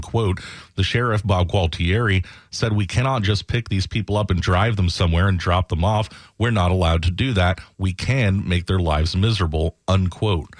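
Male news reporter, very low register, scripted narration in a dry close space. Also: no room sound.

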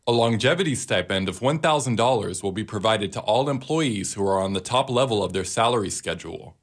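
A man's voice: on the low side, a bit bright. A man delivering a scripted news report as a reporter.

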